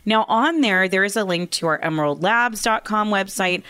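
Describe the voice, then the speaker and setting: A woman co-hosting a casual radio talk show, her voice raspy and hoarse from being sick, chatty and quick to laugh, on a studio microphone. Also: medium pitch, bright, forceful tone, no echo.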